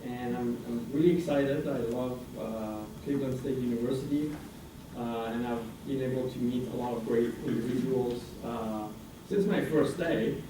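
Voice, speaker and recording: A man's voice: medium pitch, moderate pace, far from the mic. Man speaking from afar in an echoing hall. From Iranian American, raised in France. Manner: hesitant, many fillers and restarts. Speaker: guest speaker, international center director. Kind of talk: informal presentation to a student senate. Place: university meeting room. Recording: roomy hall recording from afar, noisy.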